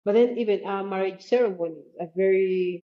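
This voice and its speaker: A woman's voice: medium pitch, a little fast, highs cut off. A woman with a Ugandan accent speaking as an interview guest, explaining things in an earnest, conversational way. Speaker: guest, travel expert.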